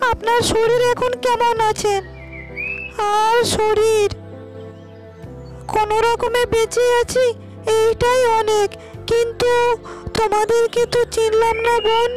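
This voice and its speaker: A woman voicing village women and girls in a cartoon moral tale, speaking earnestly and deliberately. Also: very high voice, darkish tone, no room sound. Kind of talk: cartoon dialogue acting. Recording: dry voice over background music.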